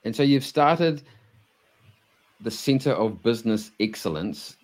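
A man's voice: mid-range voice, expressive, slow, no echo. A man hosting a podcast, introducing the guest in an upbeat presenter's manner. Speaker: podcast host. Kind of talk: podcast interview. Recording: music playing behind.

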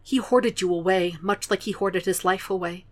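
A woman's voice: medium pitch, normal speed, close to an everyday voice. A reader voicing a whispering grieving mother. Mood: hushed. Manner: whispered, earnest. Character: grieving mother, hushed and intense.

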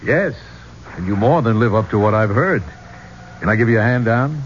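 An actor playing a forward, flirtatious archaeologist's assistant with a breezy, familiar manner in a radio drama. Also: male voice, on the low side, animated, moderate pace, lightly acted. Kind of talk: 1970s radio drama acting. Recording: dull, noisy 1970s radio recording.

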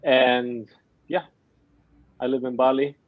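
A man who grew up in Afghanistan and Germany, a mental training coach telling his story haltingly in an interview, often restarting his sentences. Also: medium pitch, little pitch movement, no room sound, phone-quality sound. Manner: halting, searching for words, with many restarts. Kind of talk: casual interview talk.

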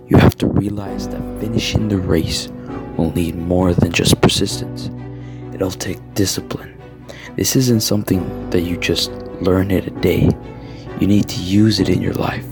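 A man playing an earnest, stern but caring basketball coach in an audio drama, speaking in solemn motivational speeches. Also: deep voice. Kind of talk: student audio drama acting.

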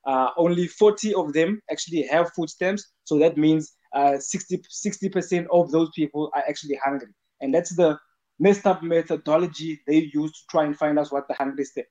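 A man's voice: a little high, moderate pace, warm tone. A man speaking in a live audio chat room, earnestly explaining a point and repeating words as he builds his argument. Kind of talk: casual spoken argument. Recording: dull lo-fi online voice chat.

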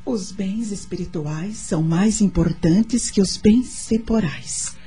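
Mellow, gentle Brazilian wife. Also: female voice, medium pitch, slow, breathy edge. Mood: reflective reading. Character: gentle, loving, pregnant wife and mother. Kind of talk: Brazilian radio soap opera acting. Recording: music under the voice.

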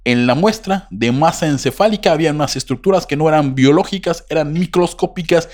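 A man's voice: on the high side, brisk, a little mellow. A man hosting a podcast, telling a story casually with a joking manner. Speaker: podcast host.

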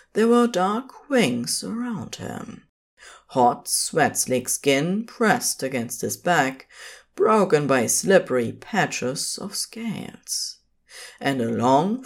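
Slow, expressive fan fiction narrator. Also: medium pitch. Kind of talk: scripted fan fiction narration, intimate storytelling.

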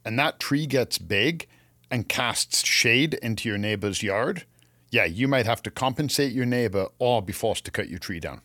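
A man from England chats as co-host of a gardening show. His voice is medium in pitch and recorded dry and close. He is opinionated and casual, acting out little quotes as he tells stories. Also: lively intonation, normal speed, bright, forceful tone, dry recording.

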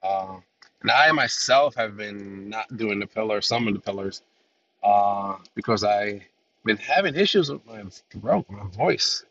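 A man with a lowish, gravelly voice rambles through his solo podcast with plenty of fillers, sounding nasal from a cold that hasn't cleared. The recording is dull and lo-fi, with him set back from the mic and a faint hum behind him. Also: animated, a little slow, a bit bright, distant mic. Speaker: podcast host. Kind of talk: casual solo podcast talk.

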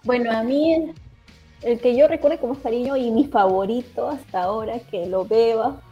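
A woman's voice: on the high side, moderate pace, warm tone, faint music behind. A woman, chatting casually as a podcast co-host on a muffled recording. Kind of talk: casual group chat. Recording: dull, muffled lo-fi voice track.

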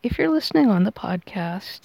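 A woman hosting a tarot reading, explaining it in measured, unscripted phrases. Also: medium pitch, animated, creaky, warm tone, dry recording. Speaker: tarot reader and podcast host.

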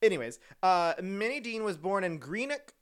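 Guy on a podcast telling a creepy true story, kinda high voice, very animated, keeps laughing and rambling. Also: normal speed, clear, resonant tone. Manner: giggly, rambling, self-correcting. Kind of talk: casual storytelling.

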